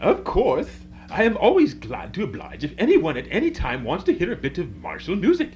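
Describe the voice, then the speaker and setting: Guy doing a smug show-off rooster, radio play, super swoopy pitch, muffled sound. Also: wildly swooping pitch, a little fast, darkish tone. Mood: self-satisfied. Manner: pompous, self-satisfied. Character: vain, boastful military-minded male rooster bugler. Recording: dull, faintly noisy radio recording.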